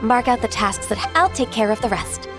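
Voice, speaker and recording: A woman voicing a gentle, polite and diligent female secretary character in a video game. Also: a little high, animated, normal speed, over music, close to an everyday voice. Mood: businesslike.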